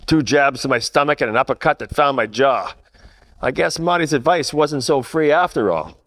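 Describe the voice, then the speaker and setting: Man playing a deadpan, low-voiced hard-boiled private eye. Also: moderate pace. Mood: wry narrating. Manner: deadpan, wisecracking. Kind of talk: 1940s radio detective parody, narration and dialogue.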